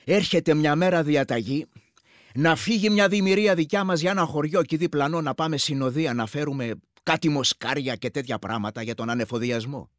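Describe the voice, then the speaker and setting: A male actor plays an earthy war veteran from a village, with a rural Greek accent and a slightly high voice. He recounts combat matter-of-factly, in a chatty radio-drama monologue, and slips into other men's speech. The sound is very dry. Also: no echo, natural voice. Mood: wry reminiscing. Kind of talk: radio play monologue, colloquial storytelling.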